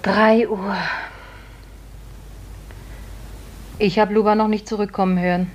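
A woman's voice: medium pitch, level tone, a little fast, lightly acted. An actress playing a bitter but compassionate servant woman, pointed and reproachful, in a 1950s radio drama. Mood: quiet, watchful. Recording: old radio recording, slight hiss.

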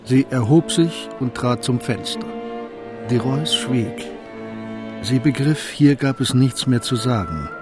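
A man's voice: medium pitch, animated, slow. Male radio-play narrator over music. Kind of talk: scripted literary narration for radio drama.

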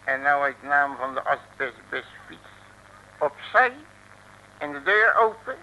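A streetwise detective from a vintage comic radio serial, full of bluster and folksy slang. His voice is piercingly bright and slightly high. A faint noise sits on the old recording. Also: animated, slow pace, full character voice, poor audio. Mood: smug, blustering. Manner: colourful folksy slang and mock threats. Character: cocky, blustering, streetwise male detective, bluffing his way through. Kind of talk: 1950s Dutch comic radio serial acting.

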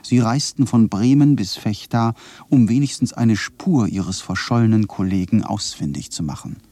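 An actor playing a sober radio announcer, reading a formal scripted bulletin. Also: male voice, on the low side, normal speed, mellow, close to an everyday voice.

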